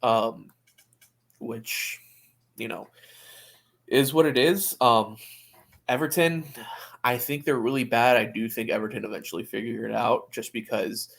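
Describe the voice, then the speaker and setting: A man's voice: medium pitch, fairly even intonation, a little slow, vocal fry, pauses often, a bit bright. A man co-hosting a sports podcast in casual, opinionated conversation, often restarting his phrases. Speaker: soccer podcast co-host.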